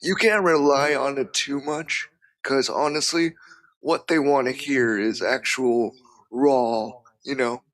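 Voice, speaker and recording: A man's voice: medium pitch, slow pace, fairly bright tone. Hesitant man talking shop as a guest. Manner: hesitant, restarts, lots of "you know". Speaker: guest, freelance voice actor. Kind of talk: casual chat.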